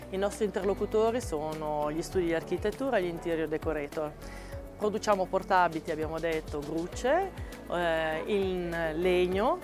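A woman in her forties, a marketing and sales director, speaking in a composed, articulate way at a trade fair stand, with occasional fillers. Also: lowish voice. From Italy. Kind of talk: company interview at a trade fair. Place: exhibition stand at a large indoor trade fair. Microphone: lapel mic. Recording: music bed under the voice.

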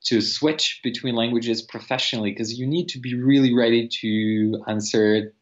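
A man's voice: medium pitch, fairly even intonation, normal speed. A young man whose first language is Russian, a language teacher speaking earnestly on a video call with many fillers and restarts. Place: room with teal wall. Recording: dull lo-fi video call audio.

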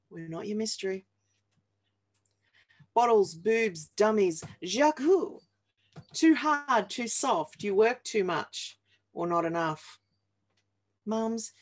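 A woman in her forties with an Australian accent reads comic rhyming verse aloud in a deliberate, wry and playful manner. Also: mid-range voice, a little mellow. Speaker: reader of a humorous picture book. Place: small home office, indoors.

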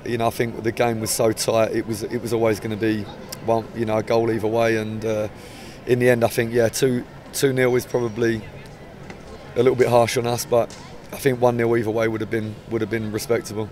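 A man in his forties, a football manager with an English accent, giving a measured, hesitant post-match interview outdoors by the pitch. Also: flat delivery, darkish tone, a little hiss or hum. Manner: measured, hesitant, many restarts. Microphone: handheld mic held up close.

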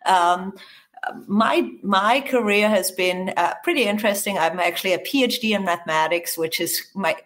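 A woman's voice: mid-range voice, normal speed, fairly bright tone. A middle-aged woman from Austria, with an accent mixing American and Austrian, speaking as a guest in a podcast interview, upbeat and full of fillers and restarts. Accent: mix of American and Austrian. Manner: enthusiastic, with frequent fillers and restarts. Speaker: guest, HR research executive.

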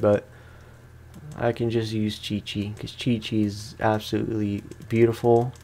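A man giving casual, rambling, slangy commentary as he plays a video game for his viewers. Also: fairly low pitch. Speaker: gaming streamer. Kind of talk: casual let's play commentary.

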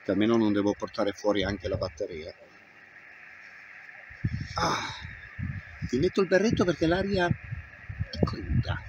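A man's voice: lowish voice, expressive, measured pace, pauses often, slight background noise, poor audio. A man in his fifties, an amateur radio operator, giving a casual hands-on demonstration and muttering step by step as he works outdoors. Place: open grassy field outdoors. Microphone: camera at about arm's length.

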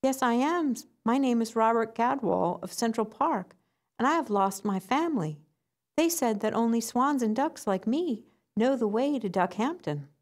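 A woman's voice: on the high side, animated, normal speed, voice pushed up, close to an everyday voice. A woman voicing a small, frightened lost duckling boy who pleads timidly. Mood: pleading.

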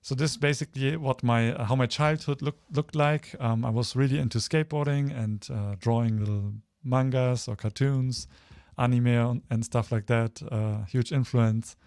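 Male presenter, thirties, from Germany. Informal, hesitant slide talk, mid-range pitch, dry recording. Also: steady tone, normal speed, a little mellow, clean tone, no room sound. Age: 30s. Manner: hesitant, searching for words. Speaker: guest speaker, photographer.

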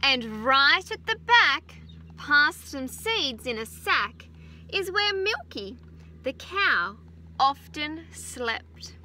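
An Australian woman in her thirties, a teacher gently reading a picture book aloud to children outdoors on a farm, adding chatty asides. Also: sits high, big pitch swings, very slow, slight background noise. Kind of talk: children's story time read-aloud. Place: outdoors on a farm beside a utility vehicle. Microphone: phone camera held close.

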